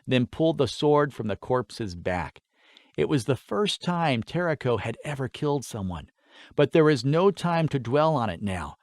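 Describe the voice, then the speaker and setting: A man's voice: medium pitch, dry recording, clean studio sound. A man narrating a scripted fantasy story as an audiobook reader.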